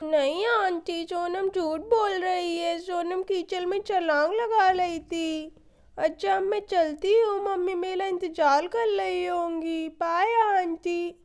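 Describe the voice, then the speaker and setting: Woman doing worried little schoolgirl, squeaky high, tattletale type, kids story cartoon. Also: fairly even intonation, slow, a little mellow, full character voice. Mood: tattling. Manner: fretful, warning.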